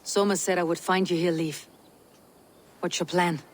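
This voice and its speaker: An actress playing a stoic, battle-hardened female Viking raider in a video game, speaking in a measured, terse way. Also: on the low side, steady tone, a little hiss or hum, lightly acted. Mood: businesslike. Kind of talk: video game cutscene acting.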